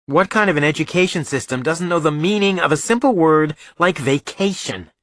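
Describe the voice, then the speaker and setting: A man's voice: on the high side, expressive, fairly quick, fairly bright tone, no echo, clean studio sound, voice pushed up. A man voicing a stubborn, argumentative schoolboy with blunt, wry protests in an audiobook. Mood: sardonic. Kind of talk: audiobook character dialogue.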